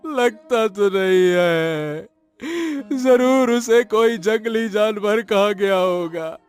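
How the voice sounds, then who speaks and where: High-pitched, distraught woodcutter played by a man. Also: extremely slow, smooth, faint music behind. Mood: grieving, remorseful. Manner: wailing, repetitive, melodramatic. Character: poor woodcutter husband, distraught and remorseful.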